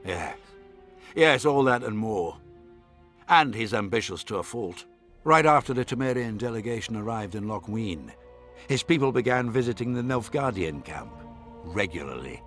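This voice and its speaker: An actor playing a haughty, scheming nobleman in a video game, speaking in a formal, imperious and measured manner. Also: on the low side, animated, fairly bright tone, faint music behind. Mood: proud, confiding.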